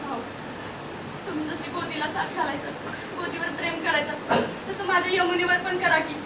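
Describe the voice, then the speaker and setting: A woman's voice: extremely high pitch, fairly even intonation, normal speed, a loud crowd. A woman playing a caring village wife who pleads with and coaxes her grieving husband in rural Maharashtrian Marathi, in a stage drama. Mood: earnest pleading. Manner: coaxing, pleading. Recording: distant echoey stage recording, loud noise.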